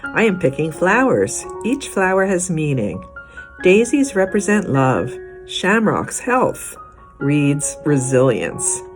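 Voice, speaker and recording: A woman librarian reading a children's picture book aloud in an expressive, deliberate way, drawing out key words. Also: low-pitched, soft background music.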